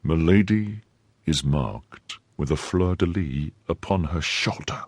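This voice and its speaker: A man's voice: sits low, lively intonation, normal speed, darkish tone, husky, dry recording. A man voicing an impetuous young swordsman in an audiobook, speaking with agitated, dramatic urgency. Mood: grave urgency. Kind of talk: audiobook character acting.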